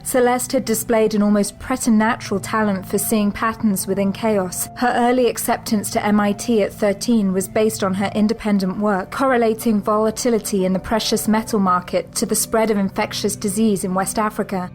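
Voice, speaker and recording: A woman giving measured, scripted narration of a spy thriller. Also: mid-range voice, faint music behind. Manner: measured, even storytelling.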